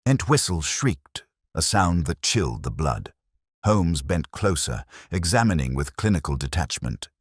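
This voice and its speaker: A man's voice: a bass voice, sing-song, measured pace, slightly rough, no echo, studio quality. A man reading a mystery story as an audiobook narrator, in a dramatic scripted style.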